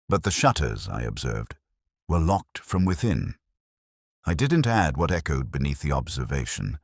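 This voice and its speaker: A man playing an ageing, melancholy police inspector, narrating a detective story in a measured, literary, brooding manner. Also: rumbling low, no echo, clean studio sound, heavily acted. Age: older. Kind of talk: first-person scripted detective story narration.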